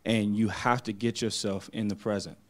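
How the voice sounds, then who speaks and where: A man's voice: lowish voice, little pitch movement, normal speed. A man in a church group earnestly explaining a spiritual idea to the others. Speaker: congregant.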